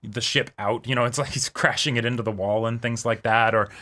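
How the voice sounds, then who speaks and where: A man's voice: medium pitch, steady tone, a little fast, bright, forceful tone. A man chatting casually as co-host of a reaction show, conversational and peppered with filler words as he reasons things out. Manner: conversational, hedges with "like" and "you know". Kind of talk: casual TV episode discussion.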